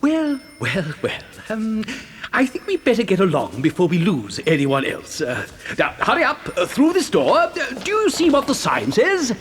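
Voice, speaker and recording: A gleeful, eccentric factory owner in a children's audio drama. His high voice leaps wildly about as he hesitates and flusters like a mischievous showman. There is faint hiss on the recording. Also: huge pitch swings, moderate pace. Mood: showing off.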